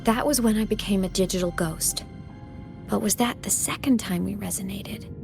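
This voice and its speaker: An actress playing a reflective heroine in a video game, with a musing, questioning delivery. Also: female voice, mid-range voice, moderate pace, creaky, natural voice. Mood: pensive. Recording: loud music behind the voice.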